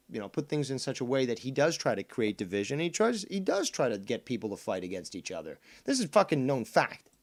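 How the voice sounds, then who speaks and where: Combative profane man, first language Spanish. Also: medium pitch. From Canada. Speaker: streamer host. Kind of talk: heated commentary on a clip.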